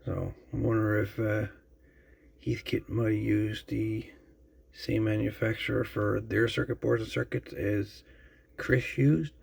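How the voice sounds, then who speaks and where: A man giving a casual, unscripted show-and-tell as a video host, musing haltingly and trailing off as he goes. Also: lowish voice, little pitch movement, gravelly, a little mellow, husky. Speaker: host of a radio repair channel.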